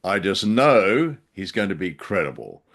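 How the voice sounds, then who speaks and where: Male podcast host, very low voice, hesitant casual chat, ums. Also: big pitch swings, a little slow, fairly bright tone.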